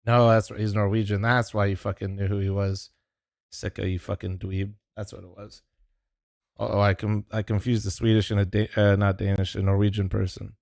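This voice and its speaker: A man's voice: lowish voice, little pitch movement, normal speed, creaky, darkish tone. A young man streaming live, chatting casually with his viewers and swearing as he teases a friend. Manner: profane, teasing, rambling. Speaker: streamer co-streaming an esports match. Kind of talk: casual livestream chat.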